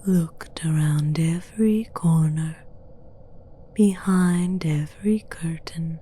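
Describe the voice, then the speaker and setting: A woman's voice: low-pitched, mellow, exaggerated voice. A woman playing a menacing, mocking demoness queen in a whispered, condescending ASMR roleplay. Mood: smug, mocking. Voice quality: breathy whisper. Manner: slow, deliberate, condescending.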